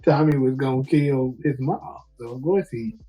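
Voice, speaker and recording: A man co-hosting a casual TV show discussion, relaxed and quick to laugh, reading out viewer comments. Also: mid-range voice, low-quality recording. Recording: faint music behind.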